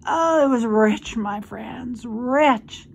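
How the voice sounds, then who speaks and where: A storybook rat played by a woman, smug, greedy and spiteful. He talks in a hoarse, snarling drawl over clear background noise. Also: a little high, extremely slow, heavily acted. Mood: gloating, sated. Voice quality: husky, hoarse. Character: gluttonous, smug, spiteful old rat, male.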